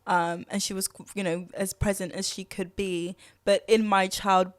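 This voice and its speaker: A woman's voice: medium pitch, little pitch movement, moderate pace, no echo. A young woman guest on a podcast, speaking candidly and thoughtfully, with frequent fillers and restarts and easy laughter. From grew up partly in Zimbabwe and London. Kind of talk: candid reflective conversation.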